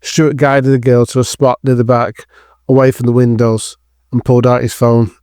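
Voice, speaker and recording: A man's voice: mid-range voice, little pitch movement, normal speed, a little mellow, clear, resonant tone, no room sound, studio quality. A man reading scripted narration for an audio drama as its narrator.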